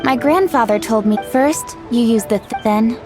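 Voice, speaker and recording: A woman voicing a gentle, cultured young lady in a video game, courteous and reflective, with measured phrasing. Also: on the high side, close to an everyday voice. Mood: polite, helpful. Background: background music.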